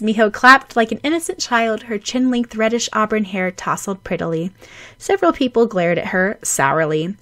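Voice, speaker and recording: A woman narrating fan fiction as an audiobook, with a dramatic, animated delivery. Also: medium pitch, no room sound.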